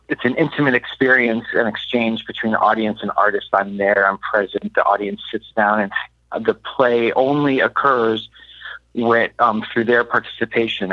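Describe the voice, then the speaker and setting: A man from Miami speaking as an interview guest, thoughtful and halting as he searches for words in reflective talk. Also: lowish voice, dry recording, lo-fi sound. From Miami, United States. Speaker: interview guest, playwright and mentor. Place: radio studio.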